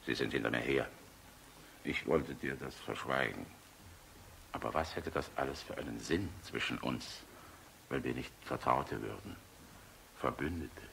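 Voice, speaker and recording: An actor playing a war-weary general in a German radio play, tenderly in love, wry and fatalistic, with a very deep, gravelly voice. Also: male voice, a bit bright, full character voice, rough recording. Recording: hissy old radio recording.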